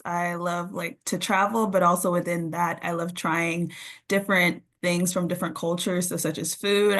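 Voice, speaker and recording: A woman's voice: mid-range voice, fairly bright tone. A woman in her twenties from Jamaica, a graduate student chatting casually and upbeat. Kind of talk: casual conversation.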